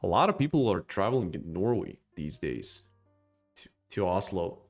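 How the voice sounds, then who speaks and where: Low-voiced man rambling on a crypto stream. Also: very animated, normal speed, soft background music. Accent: non-native English accent. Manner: rambling, joking, with laughs and restarts. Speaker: crypto live stream host. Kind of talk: casual live-stream chat, reading chat comments.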